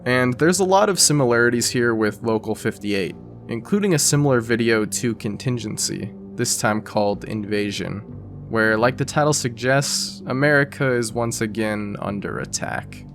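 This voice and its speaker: Male narrator for an explainer. Scripted but conversational and informal, soft music bed behind. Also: medium pitch, moderate pace, creaky. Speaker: video essay narrator.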